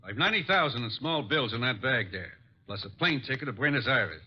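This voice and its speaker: A man's voice: medium pitch, expressive, fairly quick, slightly rough. An actor playing a cold, desperate gunman and murderer in an old radio drama, menacing and clipped. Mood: smug, desperate. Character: cold, desperate gun-toting murderer holding detectives captive. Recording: dull, band-limited vintage radio recording.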